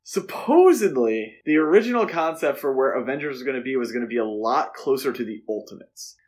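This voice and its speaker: Male podcast co-host, average pitch, conversational and opinionated, casual film talk. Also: animated, brisk, a little mellow.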